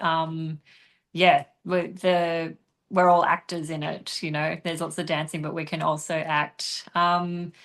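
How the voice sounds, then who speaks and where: A young adult woman answering interview questions in a relaxed, chatty way, often laughing and pausing with ums. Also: fairly low pitch. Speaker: interviewed actress and dancer.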